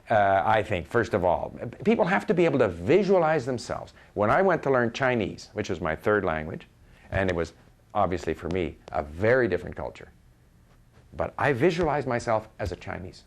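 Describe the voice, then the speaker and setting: Canadian man with very animated pitch. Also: a little fast. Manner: emphatic, repeats phrases for stress, quotes imagined speakers conversationally. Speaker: experienced language learner giving advice. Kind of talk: informal, opinionated talk on learning.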